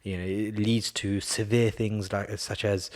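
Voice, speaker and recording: A man asking questions in a casual conversation, halting and repeating words as he searches for the right phrasing. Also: lowish voice, flat delivery. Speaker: podcast co-host, interviewer.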